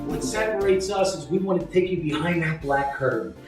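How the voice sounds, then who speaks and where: A man's voice: a little high, brisk. A man hosting a live-stream interview, talking in an upbeat, motivational way. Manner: upbeat, hyped motivational talk. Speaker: host. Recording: echoey room, mic far off, faint music.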